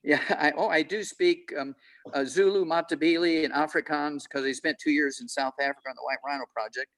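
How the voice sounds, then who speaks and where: A man's voice: a little high, steady tone, brisk, a bit bright, low-quality recording. Boastful man in his eighties. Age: 80s. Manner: boastful, rambling with many fillers. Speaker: panel guest. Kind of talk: panel discussion over video call. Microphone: video call.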